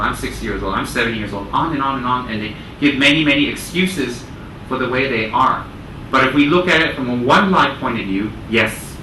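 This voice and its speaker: Male Buddhist teacher in a noisy, echoey room. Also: medium pitch. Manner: deliberate, repeating phrases for emphasis. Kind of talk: religious teaching talk to a group. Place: indoor room with listeners. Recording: roomy, distant mic, clear air-conditioning noise.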